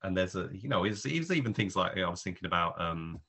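A man's voice: low-pitched, expressive, fast talker, fairly bright tone, slightly rough. A man reviewing films on a podcast in casual talk, thinking aloud in rambling, hesitant clauses. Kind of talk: casual spoken film review.

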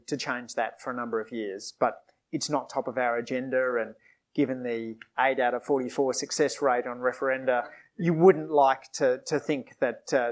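A man, a politician and author, answering audience questions at a live book discussion in a measured way, with frequent fillers and restarts. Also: medium pitch. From Australia. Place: event hall with audience.

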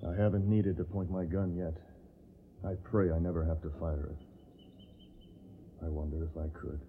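An actor playing a gentle, idealistic young Yankee soldier in a radio drama, soft-spoken and earnest. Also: male voice, low voice, little pitch movement, normal speed. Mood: earnest, troubled. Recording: muffled radio recording, background noise.

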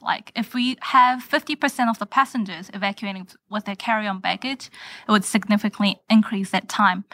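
A woman's voice: medium pitch, a bit bright, dry recording. Young New woman explaining research. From New Zealand. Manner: earnest, careful, with frequent pauses and fillers. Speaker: graduate student guest. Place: university radio studio.

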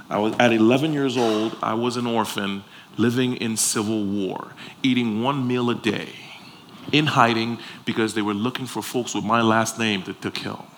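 A man's voice: fairly low pitch, a bit bright. A man from Liberia in West Africa preaching a sermon to a congregation, emphatic, repeating phrases and posing rhetorical questions. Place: live before a congregation. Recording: clear background noise, live room ambience.

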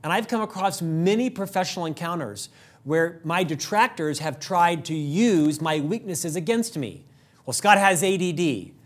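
Middle-aged male host, rather high voice, quick and brisk, slight stutter; leadership monologue. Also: lively intonation. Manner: fast, brisk and upbeat, with occasional stutter slips. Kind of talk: scripted monologue to camera.

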